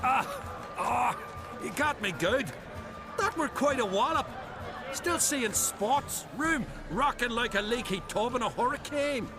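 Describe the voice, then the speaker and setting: A man's voice: extremely high pitch, animated, unhurried, over music, heavily acted. An actor playing a hearty, hard-drinking island brawler in a video game, blustery and folksy in his talk. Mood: dazed, good-humoured. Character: boisterous, hard-drinking male island brawler, roguishly courteous.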